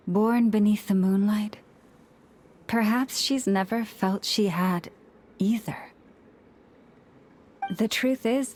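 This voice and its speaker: A woman voicing a gentle, solemn young priestess leader in a video game, with measured, formal delivery. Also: mid-range voice, a little hiss or hum, lightly acted.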